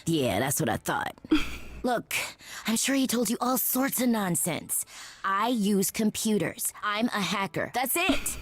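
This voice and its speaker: A snarky young female hacker, slangy and casual, very lively intonation, video game. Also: medium pitch, a little slow, no echo. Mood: annoyed, sassy.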